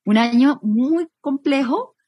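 A woman's voice: high voice, expressive, takes it slowly, dark tone, no room sound. Reflective Colombian woman journalist, dry sound. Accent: Colombian Spanish. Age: 30s. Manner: thoughtful, reflective, rephrasing as she goes. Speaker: guest, journalist. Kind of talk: interview conversation.

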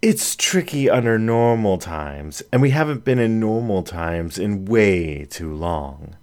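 A man's voice: lowish voice, animated, slow, a little mellow. A man voicing a small, easygoing female tabby cat in an audiobook reading. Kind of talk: storybook reading.

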